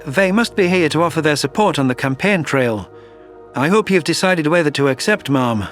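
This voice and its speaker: Sci-fi audio drama: man playing a level-headed male alien advisor, somewhat high for a man, faint music bed. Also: lively intonation, normal speed, a bit bright. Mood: pragmatic, reassuring. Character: male alien military advisor, calm, pragmatic, reassuring. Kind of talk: audio drama narration dialogue.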